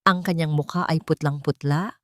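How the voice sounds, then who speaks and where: A woman's voice: low voice, steady tone, normal speed, a bit bright, no room sound, studio quality. A woman reading a scripted dramatic story as a storyteller.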